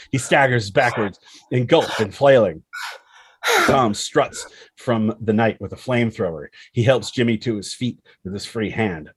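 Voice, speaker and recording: A man's voice: lowish voice, slightly rough, no echo. A man reading stage directions as the narrator of a screenplay table read.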